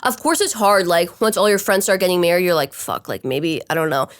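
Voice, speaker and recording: A woman's voice: on the low side, lively intonation, a little fast. A woman in her twenties with a stuffy, nasal voice, chatting frankly and rambling a little. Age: 20s. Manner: rambling, frank, searching for words. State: stuffy nose. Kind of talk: casual chat.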